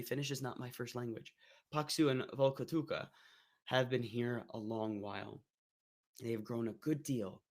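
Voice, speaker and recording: A man's mid-pitched voice reads letters aloud at an unhurried pace. He is still getting over an illness and stumbles on a word now and then. The reading stays measured and deliberate. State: recovering from an illness. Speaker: guest reader.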